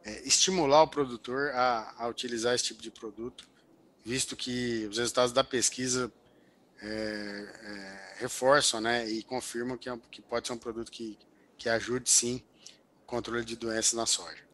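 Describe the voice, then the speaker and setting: A man's voice: mid-range voice, steady tone, moderate pace, a bit bright. An older man, an agricultural researcher, explaining things in a remote interview over a headset, with many fillers and restarts. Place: home office indoors. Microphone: headset over video call.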